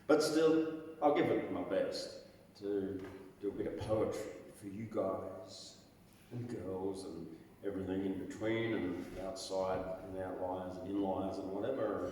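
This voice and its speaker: A man with a croaky voice, hosting an open mic and reciting his own poetry in a dramatic, chanting way with sudden loud rises. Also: low-pitched, measured pace, slight background noise. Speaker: open mic host and poet. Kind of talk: performance poetry reading. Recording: echoey hall, mic far off.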